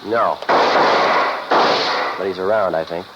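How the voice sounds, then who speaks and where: An American actor playing a sardonic, hard-boiled private eye who narrates his case deadpan in 1940s radio noir style. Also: low-pitched, slow. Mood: dry. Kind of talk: 1940s radio noir acting, scripted first-person narration. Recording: muffled, noisy 1940s radio recording.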